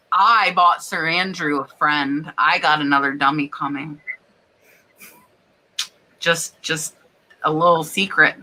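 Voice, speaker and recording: Live stream host, woman, bright forceful voice, chatty, reads comments. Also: mid-range voice, rough recording. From Florida. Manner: chatty, laughing, reading viewer comments.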